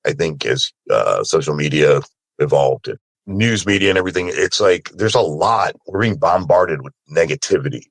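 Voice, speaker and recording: Very deep, gravelly-voiced man. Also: lively intonation, normal speed, dry recording. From Sri Lanka, moved to America as a child. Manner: informal and candid, often checks in with "you know". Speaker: panelist, voice actor. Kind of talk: casual, candid personal conversation.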